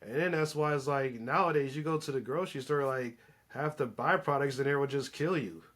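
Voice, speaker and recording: A man's voice: medium pitch, fairly quick, a bit bright. A man in a casual, opinionated podcast chat, speaking in an emphatic, slangy way.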